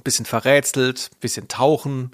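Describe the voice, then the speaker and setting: A man's voice: mid-range voice, fairly even intonation, moderate pace, no room sound, studio quality. A man hosting a podcast, chatting casually in a playful, joking manner. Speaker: podcast host, culture journalist. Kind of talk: casual podcast chat about audio dramas.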